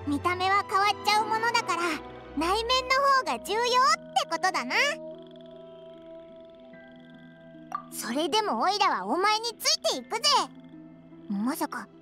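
A tiny flying sidekick from a fantasy game. The voice is extremely high and swoops about, childlike and cheeky, with blunt tomboyish phrasing over music. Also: female voice, sing-song, normal speed. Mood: reassured, loyal. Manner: blunt, rough casual childlike speech. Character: small floating fairy companion, cheeky, boyish-talking, childlike, loyal. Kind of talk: Japanese game dub dialogue. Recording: music behind voice.

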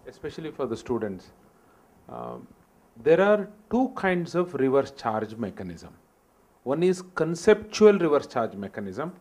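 A man's voice: fairly high pitch, a little mellow. A middle-aged man on a seminar panel, explaining step by step into a handheld mic. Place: seminar hall stage.

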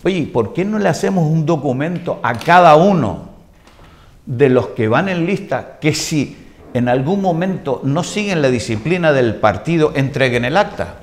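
A man in his sixties with a Canarian Spanish accent argues on a TV political panel. He talks into a lapel mic in a studio and keeps repeating himself to stay in control of the exchange. His voice sits a little on the high side. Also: animated, normal speed. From Canary Islands. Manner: insistent, interrupts and repeats himself. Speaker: debate panelist. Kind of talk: heated political debate. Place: TV studio set.

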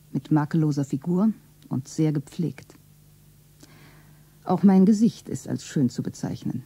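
A low-voiced, very mellow woman, elegant and self-satisfied. She describes herself with cool, detached poise, close and dry in the recording. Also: slightly rough, no echo, full character voice. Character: elegant, self-satisfied blonde woman of about thirty-five. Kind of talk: stylised radio drama monologue, self-narrating.

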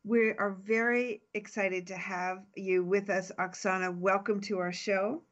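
A woman's voice: mid-range voice, fairly even intonation, measured pace. A woman radio host with a mid-range voice introduces her guest in an upbeat, scripted way, with small slips now and then. The sound is slightly dull and lo-fi.

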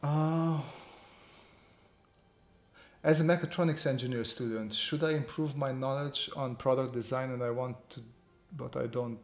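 A man's voice: mid-range voice, fairly even intonation, measured pace, faint music behind. Man streaming in an echoey room. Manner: informal, reads viewer questions aloud and answers. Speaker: livestream host, illustrator. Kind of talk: casual livestream chat while drawing. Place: small indoor home room. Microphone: desk microphone on improvised stand. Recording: roomy echo, faint background noise.

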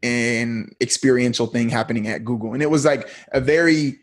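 Husky-voiced man telling his story. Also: medium pitch, moderate pace. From United States. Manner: laid-back, slangy storytelling. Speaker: podcast guest. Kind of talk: casual interview talk.

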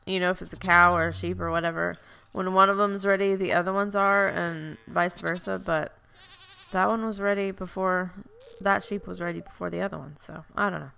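A woman's voice: fairly low pitch, fairly even intonation, brisk, gravelly, sharp tone, smooth. A woman with a stuffy, congested voice, chatting casually as she plays through a farming game, thinking aloud and correcting herself. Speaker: let's play host. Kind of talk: casual gameplay commentary.

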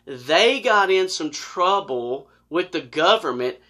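A middle-aged man with an American accent telling a personal story casually, in a folksy, animated way and acting out dialogue. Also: fairly high pitch, slow pace. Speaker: storyteller, former law enforcement officer. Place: small wood-paneled room indoors, seated on a couch.

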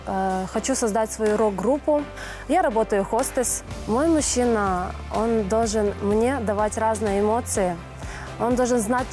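A woman of twenty-five introducing herself in a dating show interview. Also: on the high side. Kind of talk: interview to camera.

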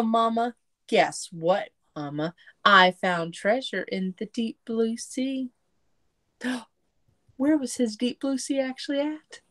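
A middle-aged woman reads a storybook for young children. Her voice sits high and she takes her time, with an expressive, sing-song delivery. It sounds like a home recording on a computer. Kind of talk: children's picture book read-aloud with asides to young listeners. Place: small room at home. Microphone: computer mic on a video call setup.

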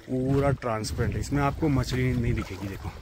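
A man making a casual travel vlog outdoors, excitedly pointing out the road, trucks and lake to his viewers while riding and filming. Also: mid-range voice, a little fast, background noise. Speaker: travel vlogger. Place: outdoors on mountain roads and lakeside. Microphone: handheld camera, often riding a bike.

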